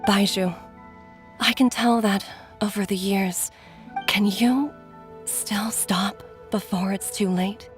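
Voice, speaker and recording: An actress plays a caring woman doctor in a video game, medium in pitch and wistful. She speaks earnestly and with weariness, over faint music. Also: steady tone, slow, close to an everyday voice. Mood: pleading, concerned. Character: Jiangli, weary, caring woman doctor and mother. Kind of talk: scripted video game voice acting.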